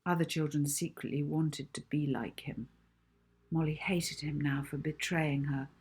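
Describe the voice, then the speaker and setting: A woman author reading her own children's book aloud in an expressive storytelling style. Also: low-pitched, measured pace, slightly rough. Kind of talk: expressive children's audiobook narration.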